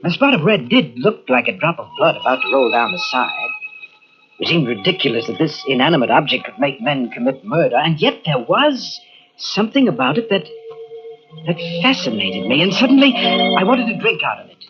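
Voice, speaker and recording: An actor playing an obsessive man who narrates his own mystery in deliberate, theatrical old-time radio style. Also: on the high side, very animated, music in the background. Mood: brooding. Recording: dull old radio tape from cassette.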